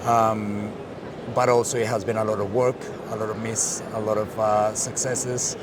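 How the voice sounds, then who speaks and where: A man's voice: lowish voice, little pitch movement, moderate pace. A man with a Colombian accent, a Spanish speaker, telling his career story in a casual interview, with many fillers and restarts. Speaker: interview guest, marketing director. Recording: street noise behind.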